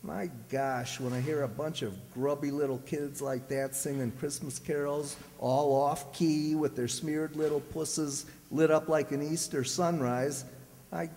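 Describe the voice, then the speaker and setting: An actor playing a grumpy, blustering, scheming husband, folksy and wisecracking, in an old-time radio comedy. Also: male voice, on the high side, fairly even intonation, unhurried, a little mellow. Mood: grumbling. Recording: very reverberant hall stage recording.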